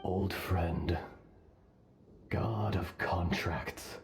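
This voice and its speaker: An actor playing an ancient earth dragon spirit speaking through a man, grave and fatalistic, with archaic, deliberate phrasing in a video game. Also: deep voice, slight background noise. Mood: resigned, solemn. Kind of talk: video game character dialogue. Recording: game music behind the voice.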